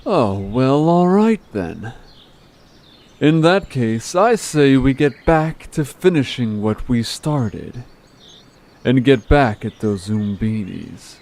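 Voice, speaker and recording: A man's voice: mid-range voice, slow. A man voicing a manipulative, vengeful monster gang leader in an audio drama, with a sarcastic, condescending drawl. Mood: feigned reluctance, smug. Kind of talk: scripted audio drama acting. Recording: steady background noise with chirping birds. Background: birdsong, forest ambience.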